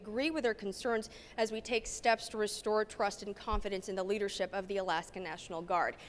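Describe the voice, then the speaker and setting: A woman's voice: mid-range voice, fairly even intonation, a little fast. A woman delivering a scripted television news report.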